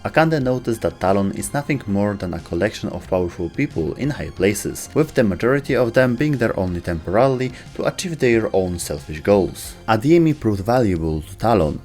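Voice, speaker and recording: Male explainer narrator, lower register, animated delivery, scripted read over a music bed. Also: brisk, hardly any pauses. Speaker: narrator of a video game lore explainer. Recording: music under the voice.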